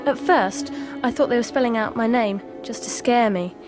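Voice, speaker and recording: A woman's voice: fairly high pitch, moderate pace, lightly acted. A woman playing a timid but matter-of-fact schoolgirl in a radio drama, speaking plainly. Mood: wary, matter-of-fact. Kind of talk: 1990s radio drama acting. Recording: radio play with music underneath.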